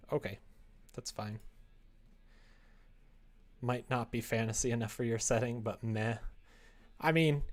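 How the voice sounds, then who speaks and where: Guy streamer just chatting, rambles and thinks out loud, amused at himself, mid pitched voice. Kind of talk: casual chat on a live creative-writing stream.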